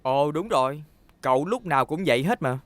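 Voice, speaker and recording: A man's voice: on the high side, lively intonation, brisk, no room sound, lightly acted. A man playing a proud, easily indignant boy racer in a cartoon dub, earnest and argumentative.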